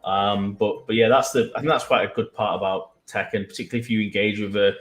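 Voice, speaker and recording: A man with a Mancunian accent, co-hosting a casual business podcast and explaining web development in a conversational, halting way. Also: lowish voice, steady tone, fairly bright tone, reverberant. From Manchester.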